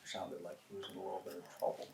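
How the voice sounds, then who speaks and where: A woman playing an evasive, weary male suspect in a soft-spoken ASMR roleplay, with a low gravelly voice and halting, guarded answers. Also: little pitch movement, exaggerated voice. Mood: halting, reluctant. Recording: roomy echo, loud noise, clinking dishes.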